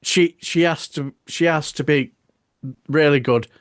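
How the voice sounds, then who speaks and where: A man's voice: fairly high pitch, measured pace, no room sound, studio quality. A man in his fifties chatting casually on a podcast, rambling and restarting his sentences. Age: 50s. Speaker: podcast co-host. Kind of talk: casual chat.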